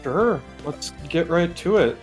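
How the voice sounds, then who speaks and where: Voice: mid-range voice, lively intonation, takes it slowly, faint music behind. A let's play co-host talking casually while introducing a game. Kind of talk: casual gaming chat.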